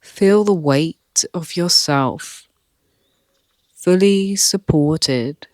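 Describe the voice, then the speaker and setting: A meditation guide speaking calmly and softly, leading a relaxation session with long pauses. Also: mid-range voice, no room sound. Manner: calm, soft, with long pauses.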